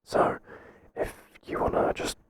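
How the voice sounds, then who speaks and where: A man playing a mild, kindly workplace boss in a whispered ASMR roleplay, soft-spoken and halting with a breathy voice. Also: lightly acted. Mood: hesitant, accommodating. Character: mild, hesitant, kindly male workplace boss, flustered by flattery.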